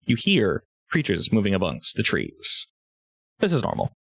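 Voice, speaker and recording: Animated, informal male game master. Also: lowish voice, normal speed, vocal fry, husky, dry recording. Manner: informal, joking. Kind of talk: improvised narration of a tabletop roleplaying game.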